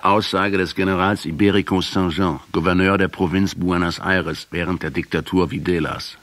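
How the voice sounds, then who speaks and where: An actor playing an accusing male intellectual in a radio drama, speaking in a deliberate, articulate way. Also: sits low, fairly even intonation, a bit bright. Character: accusing male intellectual, professor quoting history at the commander.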